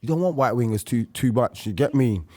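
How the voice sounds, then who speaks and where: A man's voice: medium pitch, lively intonation, a little fast, warm tone. A man with a London accent in casual football banter, talking emphatically and full of slang. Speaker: football show panellist.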